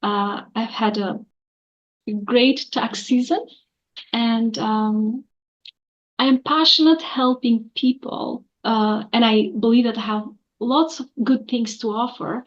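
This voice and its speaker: A woman in her forties talks earnestly as a guest, filling her pauses with lots of ums and uhs. Her voice is a bit higher than most women's. The recording sounds a little dull and muffled. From Albania. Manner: earnest, with many fillers. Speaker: guest, accountant. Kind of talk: interview answers.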